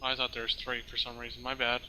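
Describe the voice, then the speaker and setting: A man's voice: medium pitch, completely flat delivery, fast talker, pushed and bright, a little hiss or hum, rough recording. A man chatting casually in character as a player in a group role-playing game.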